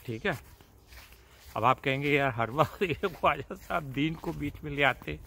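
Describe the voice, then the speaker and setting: An older man chats casually to the camera while outdoors, pausing often and addressing his viewers directly in a leisurely way. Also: fairly high pitch, vocal fry, sharp tone. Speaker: vlogger. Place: outdoors in a suburban backyard. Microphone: phone at arm's length.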